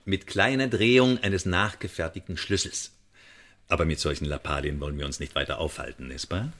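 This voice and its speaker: An actor playing a suavely menacing French secret police agent in a German radio drama, speaking in a verbose, mock-polite way. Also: male voice, deep voice, fairly even intonation, a little fast, fairly bright tone. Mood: smooth menace.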